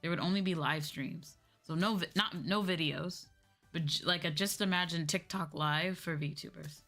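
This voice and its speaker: Low-pitched young woman streamer, sore voice. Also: normal speed, a bit bright. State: sore, tired voice from talking all day. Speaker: VTuber streamer. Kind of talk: casual livestream chat. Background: background music.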